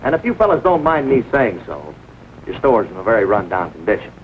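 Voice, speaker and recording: A man's voice: lowish voice, very animated, brisk. An actor playing a pushy, glib traveling salesman in a 1940 film comedy, with slick sales patter. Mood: brisk, smug. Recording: hissy, dull old film soundtrack.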